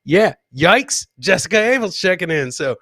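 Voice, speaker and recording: A man hosts a casual comedy podcast in a bright, forceful, somewhat high voice. He riffs on clips with boisterous slang and leans on repeated catchphrases. The sound is dry and close to the microphone. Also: sing-song, normal speed, no room sound. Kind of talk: casual live commentary on funny clips.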